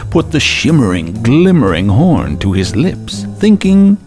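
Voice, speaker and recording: A man narrating a radio drama as a theatrical storyteller, with measured, dramatic phrasing. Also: medium pitch, wildly swooping pitch, a little mellow, faint music behind.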